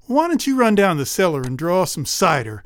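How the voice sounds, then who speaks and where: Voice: mid-range voice, big pitch swings, normal speed, no echo, studio quality, close to an everyday voice. A storyteller voicing a fond, middle-aged country mother who talks to her daughter in a coaxing, tender way.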